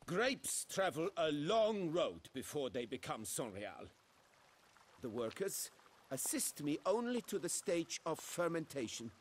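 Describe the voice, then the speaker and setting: A fussy male wine steward in a fantasy game, with a highish, swooping voice. He speaks formally and pompously, bowing to his betters. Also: very animated, a little slow, slightly rough, exaggerated voice. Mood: haughty, proud. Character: fussy, proud male winery steward, obsequious to royalty. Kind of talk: video game voice acting.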